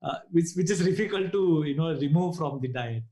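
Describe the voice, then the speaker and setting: A man's voice: on the high side, brisk, mellow, echoey room. A man with an Indian accent, a native Konkani speaker, answering interview questions in a hesitant way with many fillers. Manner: hesitant, frequent "you know" fillers. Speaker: guest dentist.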